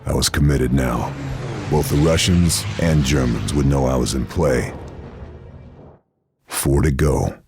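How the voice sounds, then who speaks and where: Very deep-voiced, grim American sniper. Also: male voice, slow, gravelly, long pauses, over music, exaggerated voice. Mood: cold, terse. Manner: terse, deadpan. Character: grim American OSS sniper narrating his wartime mission. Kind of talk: scripted noir-style voice-over narration.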